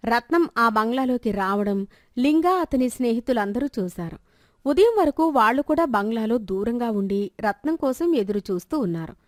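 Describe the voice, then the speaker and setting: A woman's voice: on the high side, no room sound, studio quality. A woman narrating a scripted moral story.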